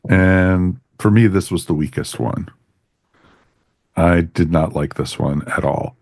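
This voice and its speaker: A man's voice: low voice, slow pace, a little mellow, dry recording, studio quality. A man hosting a podcast, talking casually as he leads a review discussion. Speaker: podcast host.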